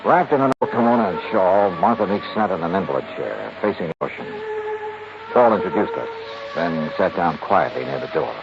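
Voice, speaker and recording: American detective, low lively voice, clipped, wry, probing, old radio drama. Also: male voice, a little fast, vocal fry. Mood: narrating. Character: cool, polite, probing male insurance investigator. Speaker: lead actor and narrator. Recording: noisy, muffled old 1950s radio recording.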